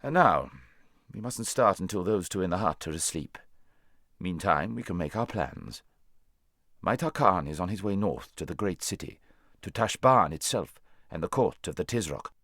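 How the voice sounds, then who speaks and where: Storybook war horse, played by a man: low-voiced, haughty, worldly, lectures grandly with wide swings in intonation. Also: lively intonation, moderate pace, a bit bright, no room sound, clean studio sound, heavily acted. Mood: haughty, scheming. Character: proud male talking war horse, worldly, scornful, bossy.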